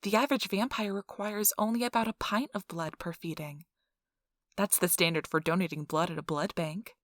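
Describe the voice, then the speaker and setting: Low-pitched woman acting as a reassuring ASMR interviewer. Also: moderate pace, dry recording, studio quality, natural voice. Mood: upbeat, reassuring. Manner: polite, reassuring, customer-service patter. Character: cheerful, earnest woman donor recruiter for vampires. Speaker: donor acquisition interviewer. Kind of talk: soft-spoken ASMR role-play.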